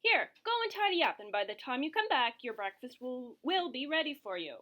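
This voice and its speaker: A woman's voice: sits high, sing-song, fairly quick, pushed and bright, slight background noise, pitched above the performer's own voice, full character voice. A woman voicing an ogre's big, bossy wife who scolds and coaxes in a children's puppet show. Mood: soothing, brisk. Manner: sing-song, swooping between scolding and coaxing.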